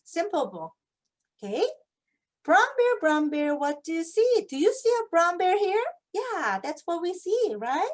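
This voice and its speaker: High-pitched Korean-accented woman reading aloud. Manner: expressive, asks prompting questions as if to children. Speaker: English teacher, children's book reader. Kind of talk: picture book read-aloud demonstration with tips.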